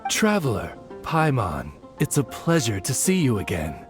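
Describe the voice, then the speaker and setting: A formal raven familiar in an anime game. He speaks at a medium pitch with expressive swings, polite as a devoted butler, with music behind him. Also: measured pace. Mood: courteous.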